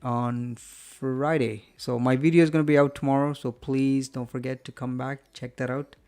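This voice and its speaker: A man's voice: medium pitch, little pitch movement, moderate pace, a little mellow, faint music behind. A man hosting a live stream from home, wrapping up the show in a rambling, friendly chat full of fillers and restarts. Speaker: host.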